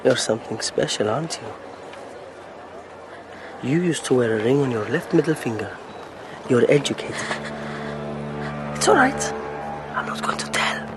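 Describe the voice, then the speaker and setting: A man's voice: mid-range voice, lively intonation, moderate pace, long pauses, over music, natural voice. An actor playing a polite, insistent middle-aged merchant and father in a naturalistic audio drama, speaking a little hesitantly. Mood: coaxing. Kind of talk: naturalistic radio drama acting. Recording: noisy outdoor street ambience.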